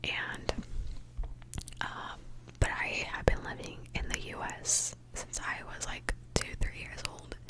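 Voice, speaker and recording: A woman's voice: slow pace, low-quality recording. A young woman from Mexico, an ASMR creator, whispering a candid, chatty question-and-answer session.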